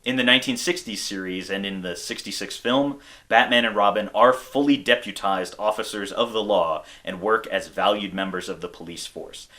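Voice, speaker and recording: A man's voice: lowish voice, moderate pace, hardly any pauses, bright, forceful tone. An American man hosting a video essay, reading scripted narration. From United States.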